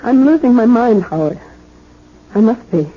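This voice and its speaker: Husky, distraught young wife. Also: female voice, a little high, expressive. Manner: halting, anguished. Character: fragile, distraught young wife fearing madness. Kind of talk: 1970s radio drama acting. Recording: dull 1970s radio recording.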